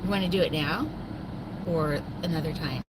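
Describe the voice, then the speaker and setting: A woman's voice: low-pitched, normal speed. Chatty woman livestreaming from a noisy car. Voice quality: very loud voice. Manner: chatty, self-deprecating, laughs often, trails off. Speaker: livestream host. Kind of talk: rambling casual livestream chat. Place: inside a moving car. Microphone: phone. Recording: distant voice over loud road noise.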